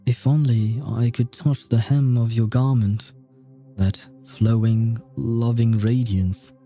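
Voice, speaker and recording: A man's voice: medium pitch, steady tone, slow, dark tone, faint music behind. A man voicing a proud, wounded young noblewoman athlete from a game story, earnest and desperate to win. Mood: desperate pleading.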